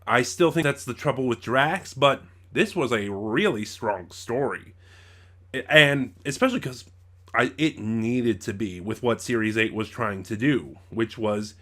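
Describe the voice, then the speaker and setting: A man hosting an informal review in a conversational, halting way, often circling back to rephrase himself. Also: mid-range voice, a bit bright. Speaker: reviewer and host of his own channel. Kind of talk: informal review to camera or mic, loosely scripted.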